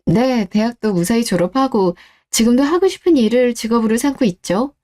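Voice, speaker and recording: A woman's voice: on the high side, animated, a little fast, mellow, lightly acted. A woman playing a level-headed young bride-to-be in a scripted story-radio drama, composed, direct and matter-of-fact.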